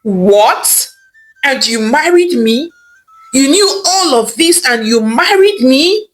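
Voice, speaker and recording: Distraught, pleading young wife, very high and tearful, voiced by a woman. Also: lively intonation, slow, fairly bright tone, soft background music, low-quality recording. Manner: tearful, pleading, repeats words.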